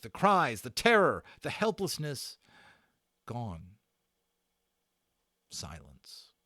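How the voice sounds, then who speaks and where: A man narrating a children's adventure novel aloud as a dramatic read-aloud, with theatrical emphasis and deliberate pauses. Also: lowish voice, fairly bright tone, dry recording, clean studio sound. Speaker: audiobook narrator and channel host.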